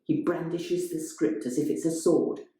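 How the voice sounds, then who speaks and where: A woman narrating a literary short story in a measured, dramatic manner. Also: low voice, mellow. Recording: strongly echoing, roomy sound.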